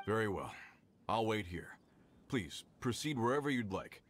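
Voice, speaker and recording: A man's voice: on the low side, sing-song, normal speed. An actor playing an earnest, worried middle-aged mine foreman who speaks in a grave, plainspoken way. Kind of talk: video game voice acting.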